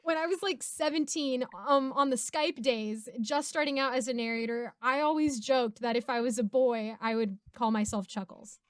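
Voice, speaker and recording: A woman in her twenties telling stories in a casual, giggly, expressive way as a streamer. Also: high-pitched, moderate pace, few pauses, dry recording. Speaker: streamer and narrator hosting a Q&A. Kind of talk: casual chat, storytelling.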